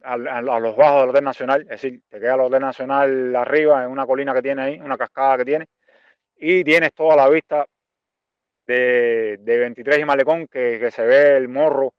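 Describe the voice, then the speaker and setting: Male interview guest, mid-forties, Cuban accent, resonant and clean-voiced, thinks aloud in halting phrases; dry, close sound. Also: mid-range voice, fairly even intonation, smooth, no echo, muffled recording. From Cuba. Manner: halting, searching for words with repeated phrases. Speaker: interview guest, visual artist and art teacher. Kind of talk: reflective interview answers.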